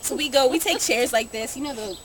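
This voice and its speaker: A woman telling a comic anecdote casually to friends, animated and giggly, acting out the dialogue. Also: sits high, brisk, a loud crowd. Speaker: amateur storyteller. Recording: very noisy amateur room recording.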